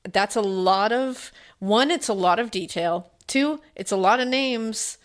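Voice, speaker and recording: A woman co-hosting a true-crime podcast in casual chat, animated and repeating her points for emphasis. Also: mid-range voice, unhurried. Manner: animated storyteller, repetitive emphasis. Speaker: podcast co-host.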